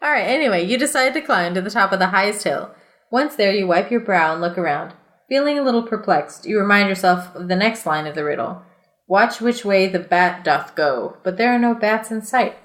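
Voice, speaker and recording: A woman podcast host reading a storybook aloud in an expressive way, with casual, playful asides. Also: medium pitch, fairly quick, reverberant. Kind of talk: storybook read-aloud mixed with casual chat.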